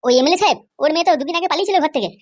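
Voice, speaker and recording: A nosy young cartoon village lad, acted by a man, chatters extremely fast in a tiny, very high, processed squeak. He is bold, blunt and suspicious. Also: animated. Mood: gossipy, warning. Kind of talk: comic cartoon dubbing.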